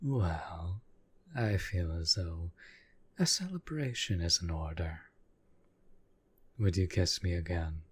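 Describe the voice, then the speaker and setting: A man playing a devoted, lovestruck demon bound to a summoner, husky and breathy, speaking in formal, courtly phrases in an intimate audio roleplay. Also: low voice, animated, slow, pauses often, exaggerated voice. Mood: adoring, sultry.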